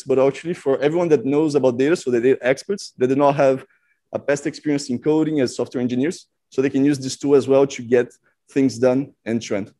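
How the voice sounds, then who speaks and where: Man, Brazilian-French accent, mellow voice, dry close sound, hesitant tech talk. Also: medium pitch, no room sound. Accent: Brazilian Portuguese with some French. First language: Portuguese. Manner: hesitant, many restarts and fillers. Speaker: data scientist giving a talk. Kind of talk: online tech presentation.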